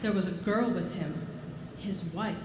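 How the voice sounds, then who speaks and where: A woman's voice: on the low side, a little slow, noisy background, lightly acted. A woman playing a patient storytelling narrator in a live radio play. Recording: roomy live stage. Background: music.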